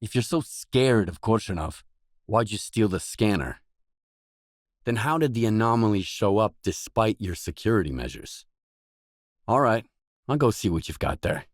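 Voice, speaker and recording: An actor playing a wary, world-weary stalker, the blunt protagonist of a video game, in terse acted dialogue. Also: male voice, on the low side, lively intonation, moderate pace, pauses often, no room sound, clean studio sound. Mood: probing, wary.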